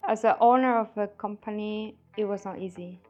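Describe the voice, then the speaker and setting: A woman's voice: medium pitch, moderate pace, a little mellow, highs cut off. Woman barber talking from the heart, plain simple words, guitar strumming behind her. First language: not German. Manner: earnest, heartfelt, simple phrasing. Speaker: barber shop owner. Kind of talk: documentary interview. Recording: interview clip with guitar music. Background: guitar music.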